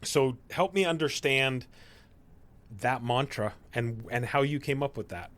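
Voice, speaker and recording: A man's voice: mid-range voice, unhurried, a bit bright. A man hosting a podcast, asking questions in a friendly, casual way. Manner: friendly, with frequent filler and restarts. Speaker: podcast host. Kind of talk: casual interview talk.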